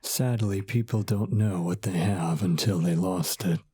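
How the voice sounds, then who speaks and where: An actor playing an ominous old doll shop owner in a horror radio drama, husky and pointed, with veiled menace. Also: male voice, low-pitched, dark tone, no room sound, full character voice. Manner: slow, pointed, veiled threats.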